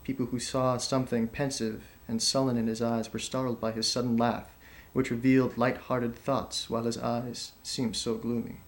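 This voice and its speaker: A man's voice: mid-range voice, little pitch movement, normal speed, few pauses, lightly acted. A man reading a passage of literary narration aloud as an audition piece. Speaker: actor auditioning.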